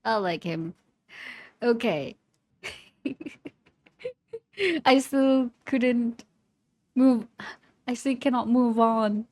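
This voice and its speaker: High-voiced, unhurried woman reacting casually. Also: a little mellow, dry recording. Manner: halting, repeats words and phrases. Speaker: streamer reacting to game trailers. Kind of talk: casual live reaction commentary.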